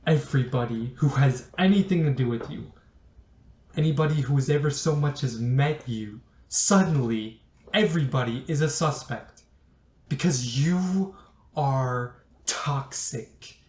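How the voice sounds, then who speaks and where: A man acting a bitter, accusing relative in a dramatic monologue, pointed and halting for emphasis, recorded in an echoey room. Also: mid-range voice, darkish tone, close to an everyday voice. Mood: angry, accusing.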